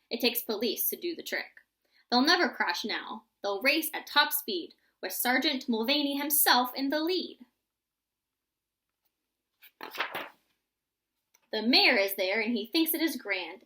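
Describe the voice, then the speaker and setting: Woman reading as bright, imaginative young storybook boy. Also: a little high. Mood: imaginative, eager. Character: imaginative young boy, excitable, inventing tall tales.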